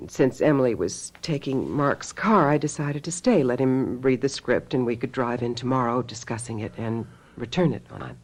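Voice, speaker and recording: Husky witchy sister-in-law, shrewd and threatening, radio mystery, cool and deliberate. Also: rumbling low, darkish tone. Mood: composed, explaining. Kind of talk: radio mystery drama acting and narration.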